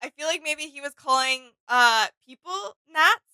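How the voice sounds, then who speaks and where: A woman with a high, bright voice thinks aloud in casual reaction chat. She sounds tentative and questioning, in a dry recording. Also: lively intonation, unhurried, no echo. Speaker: reaction video host.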